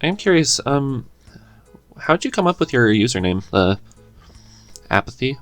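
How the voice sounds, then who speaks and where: A man hosting a podcast, asking a guest questions in a relaxed, curious way. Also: mid-range voice, normal speed, no echo, faint music behind. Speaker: podcast host. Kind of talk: casual interview chat.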